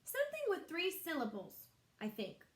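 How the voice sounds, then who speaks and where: Woman voicing a small-voiced little girl, high-pitched. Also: wildly swooping pitch, normal speed, roomy sound. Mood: small, wistful. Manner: small-voiced, polite, earnest. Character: timid young girl Jane, trying to sound self-assured.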